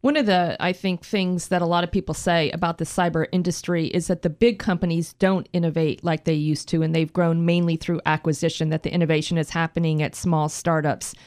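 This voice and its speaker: Low-toned woman interviewer asking questions. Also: little pitch movement, fairly quick, hardly any pauses, a little mellow, smooth. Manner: conversational, leading into questions.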